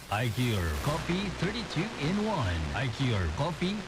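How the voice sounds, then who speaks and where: A man's voice: mid-range voice, big pitch swings, a little slow, noisy background. A man with a Filipino accent announcing repeated advertising slogans. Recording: loud music bed over voice.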